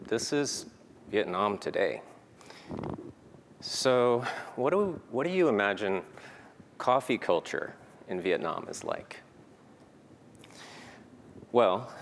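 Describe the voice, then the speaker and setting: A man's voice: fairly low pitch, creaky. A man giving a prepared conference talk, earnest and peppered with "um" fillers. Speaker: conference speaker. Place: conference hall. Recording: reverberant hall, faint noise.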